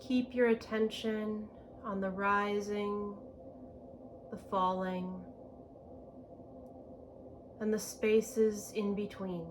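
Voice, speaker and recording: A woman leads a guided meditation at a slow pace. Her delivery is gentle and spacious, with long pauses between phrases and a medium pitch. Some ambient noise sits behind her voice. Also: flat delivery. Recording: clear background ambient noise.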